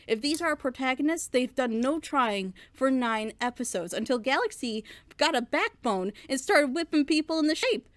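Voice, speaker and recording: A woman with a high-pitched voice gives a casual, opinionated review. She rambles through her explanations emphatically, leaning on rhetorical questions. The sound is dry, with hardly any room echo. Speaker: reviewer on a reaction show.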